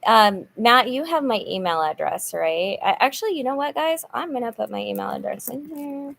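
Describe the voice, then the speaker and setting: A woman's voice: a little high, lively intonation, moderate pace, vocal fry, fairly bright tone, slight background noise. A middle-aged woman hosting a casual livestream chat, upbeat and chatty, with many fillers and restarts. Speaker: channel host, nonprofit volunteer. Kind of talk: casual livestream chat reading viewer comments.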